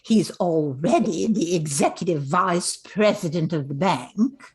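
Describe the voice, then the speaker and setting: An older woman playing a genteel, meddling society mother in a radio comedy play, coaxing with theatrical, drawn-out phrases. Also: lowish voice, expressive, husky, heavily acted. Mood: coaxing, insistent.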